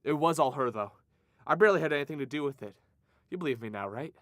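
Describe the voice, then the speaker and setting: A man's voice: on the high side, expressive, fast talker, a bit bright, no echo. A man playing an evasive, self-serving bank robber in a radio drama, nervous and pleading. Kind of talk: noir radio drama acting.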